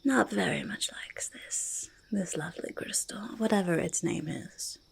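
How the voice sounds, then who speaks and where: A woman voicing a quirky, stubborn goblin who loves shiny treasures, in a halting, breathy, creaky ASMR whisper, speaking in third person. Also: fairly low pitch, heavily acted. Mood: quiet delight. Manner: halting, childlike third-person speech, easily argumentative. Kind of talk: soft-spoken ASMR roleplay, mostly whispered.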